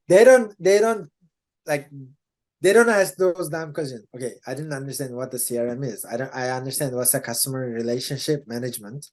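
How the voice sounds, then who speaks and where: A man with a Turkish accent answering interview questions in a casual, halting way, often restarting his sentences and laughing. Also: medium pitch, darkish tone. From Turkey. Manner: hesitant, many restarts and laughs. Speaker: interview guest, Salesforce developer.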